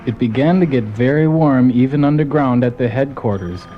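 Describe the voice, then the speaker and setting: A man's voice: medium pitch, moderate pace, lo-fi sound, natural voice. A man playing a folksy, gung-ho soldier who narrates his team's mission in a scripted audio drama. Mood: earnest storytelling. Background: music.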